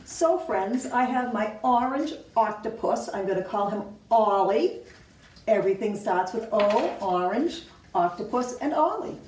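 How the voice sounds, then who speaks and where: A woman's voice: mid-range voice, animated, measured pace. A woman teacher giving simple step-by-step craft instructions to young children. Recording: roomy, distant mic, faint noise.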